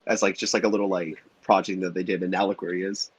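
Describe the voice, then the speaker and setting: A man chatting casually as a podcast panelist, halting with frequent pauses. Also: deep voice, flat delivery, husky. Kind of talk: casual chat. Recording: dull, dry lo-fi mic.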